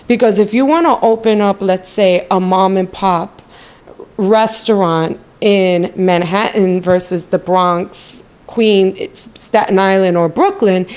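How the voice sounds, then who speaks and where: A confident woman talks at an even, middling pitch. She answers an interviewer in long, expansive runs, stacking points into lists. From New York City. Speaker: real estate event organizer.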